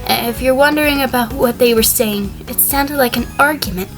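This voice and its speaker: A woman acting as a cagey female defendant on the witness stand in a courtroom drama. Her voice is on the high side, prickly and defiant yet prone to stammer, with music behind it. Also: moderate pace, close to an everyday voice. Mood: recounting, uneasy.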